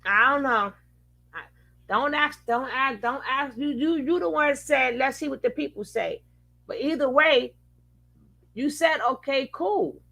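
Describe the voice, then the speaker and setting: A woman's voice: high voice, measured pace, creaky, pushed and bright. A woman commenting casually on a live stream, skeptical and wry, with laughing asides.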